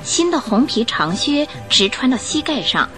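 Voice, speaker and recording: A woman narrating a scripted radio drama in an expressive storytelling manner. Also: high voice, moderate pace, soft background music.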